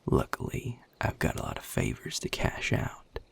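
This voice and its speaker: A man playing a weary, protective vampire sire in an intimate audio roleplay, with a Southern US accent, a husky, gravelly low voice and a folksy, guarded drawl. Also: moderate pace, natural voice. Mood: weary, resolute. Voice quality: very deep, gravelly, husky and breathy. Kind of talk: audio roleplay drama, intimate one-sided dialogue.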